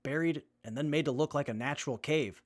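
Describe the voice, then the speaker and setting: A man's voice: fairly high pitch, brisk, natural voice. A man playing an earnest, knowledgeable young survivor in a post-apocalyptic audio drama, speaking matter-of-factly. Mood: grave explanation.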